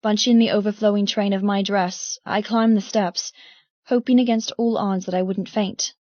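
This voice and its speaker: A woman's voice: mid-range voice, steady tone, moderate pace, darkish tone, no echo, lo-fi sound. A woman reading first-person dramatic fiction as an audiobook narrator.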